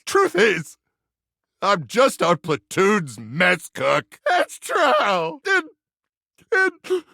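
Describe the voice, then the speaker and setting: A man voicing a gung-ho but insecure soldier-like microwave in a video game, gravelly and strained, with melodramatic bravado and boyish slang. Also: very high-pitched, sharp tone, dry recording, studio quality, heavily acted. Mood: exasperated confession. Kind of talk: animated video game voice acting.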